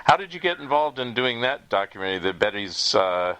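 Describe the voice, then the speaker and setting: A man's voice: on the low side, big pitch swings, fairly quick, fairly bright tone, dull audio. A man hosting a casual interview, chatty and informal in his delivery. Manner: informal, chatty, frequent short acknowledgements. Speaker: podcast host, interviewer. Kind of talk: casual chat.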